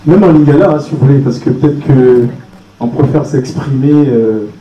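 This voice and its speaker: A man's voice: mid-range voice, moderate pace, dark tone, highs cut off, a little hiss or hum. A man hosting a conference, casually encouraging the audience to speak, with hesitant fillers. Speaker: event host and moderator. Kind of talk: moderating a conference Q&A. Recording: distant, echoey room with faint ambience.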